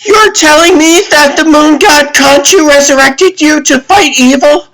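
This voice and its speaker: A man's voice: extremely high pitch, measured pace, fairly bright tone, rough recording. A man voicing an incredulous, melodramatic TV show performer in a comic dub, overwrought and emphatic. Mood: overdramatic.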